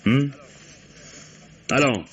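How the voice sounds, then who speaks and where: An actor playing a shrewd, mock-courteous, methodical German police commissioner interrogating suspects in a vintage French radio mystery. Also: male voice, mid-range voice, very slow, slightly rough. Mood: ironic, accusing. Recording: dull old radio-play recording.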